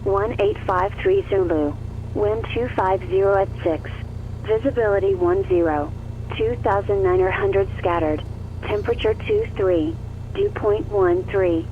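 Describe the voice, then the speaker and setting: Low female automated announcer on tinny radio. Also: measured pace. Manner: clipped phrases, reads numbers digit by digit. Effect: aviation radio filter. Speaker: automated airport weather announcer. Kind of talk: scripted aviation information broadcast. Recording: tinny, faintly noisy radio channel.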